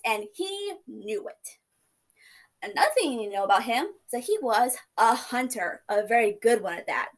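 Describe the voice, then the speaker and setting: A young woman giving a class speech, telling stories in a playful, casual way. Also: sits high. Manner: lively, playful storytelling with casual asides. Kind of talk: informative speech.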